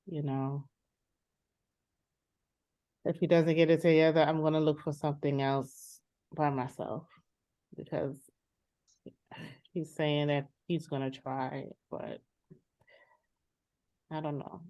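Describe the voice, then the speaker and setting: Woman acting as fed up sister in a therapy role play, lowish voice, blunt n exasperated, kinda muffled audio. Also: level tone, slow pace, long pauses, warm tone. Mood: weary, distrustful. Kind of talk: dramatized therapy session, conversational. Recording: dull lo-fi sound.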